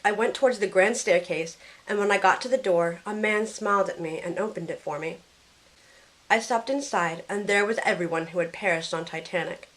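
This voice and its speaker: A woman's voice: medium pitch, normal speed, fairly bright tone, lightly acted, poor audio. A woman playing a grieving, guilt-ridden young woman who tells her story in an earnest, emotional first-person audio drama. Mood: sorrowful, reflective.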